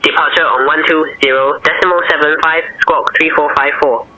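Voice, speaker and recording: A man's voice: on the high side, moderate pace. A man with a Chinese accent acting as air traffic controller, giving clipped, formal radio instructions. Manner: clipped, formal, even delivery. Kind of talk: radio phraseology.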